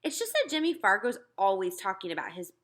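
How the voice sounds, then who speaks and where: A woman reading a children's book aloud in an expressive storytelling style. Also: mid-range voice, fast. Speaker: read-aloud reader.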